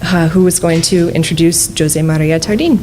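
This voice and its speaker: A woman's voice: fairly low pitch, steady tone, normal speed, warm tone, slight background noise. A woman hosting an event, opening it with a brief, formal welcome. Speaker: event host.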